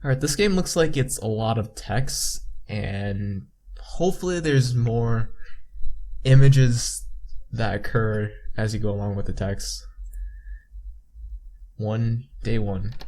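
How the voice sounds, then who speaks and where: A man doing a game playthrough, reading visual novel text aloud haltingly and adding his own asides. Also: lowish voice, a little mellow. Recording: game music behind the voice. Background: game music.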